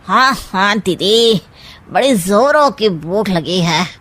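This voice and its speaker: A woman voicing a scheming, meddling uncle in an animated comedy story, with a sly, wheedling, drawn-out delivery. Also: medium pitch. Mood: eager.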